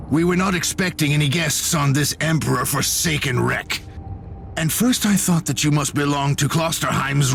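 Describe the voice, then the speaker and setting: A battle-weary game sergeant, with a gravelly creak in a slightly high male voice. He talks bluntly, with dry sarcasm, over loud music. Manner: sardonic, blunt.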